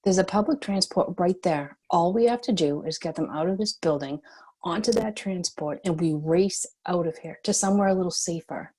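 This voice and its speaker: A woman playing a determined, defiant and compassionate young heroine in a screenplay table read, with a slightly husky voice. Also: on the low side, moderate pace, darkish tone. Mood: urging.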